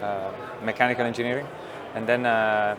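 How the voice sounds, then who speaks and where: A middle-aged man, a company founder explaining technology in an interview, thoughtful with many pauses and fillers. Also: on the low side, little pitch movement, crowd noise. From Italy. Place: trade show booth studio.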